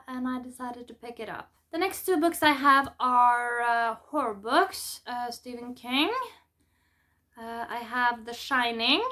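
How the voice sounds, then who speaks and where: A woman's voice: fairly high pitch, pushed and bright. A woman from Norway with a Norwegian accent, a native Norwegian speaker, chatting casually as she presents books as a vlogger. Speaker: book vlogger presenting a haul. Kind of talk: casual chat to camera.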